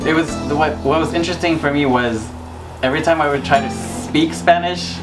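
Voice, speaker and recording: A man's voice: a little high, brisk, far from the mic. Cebuano-speaking man, off-mic, guitar music behind. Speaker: travel podcast co-host. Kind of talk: casual travel chat. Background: acoustic guitar music.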